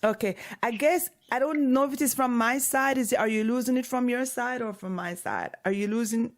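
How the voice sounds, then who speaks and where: A woman's voice: a little high, fairly quick. Woman hosting a call-in radio show. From Jamaica. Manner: hesitant, with many fillers and restarts.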